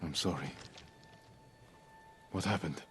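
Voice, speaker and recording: An actor playing an earnest, resolute samurai lord in a video game, grave and loyal, speaking in a terse, restrained way. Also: male voice, a bass voice, slow pace, slight background noise. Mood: somber. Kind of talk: cinematic game dialogue. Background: music.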